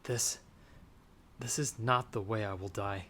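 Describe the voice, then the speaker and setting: A man playing a frightened but defiant young traveler in a dramatic audio roleplay, with breathless, halting, intense delivery. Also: fairly low pitch, steady tone, bright, forceful tone. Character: frightened, defiant young male traveler turned half-vampire. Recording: noisy track with storm ambience.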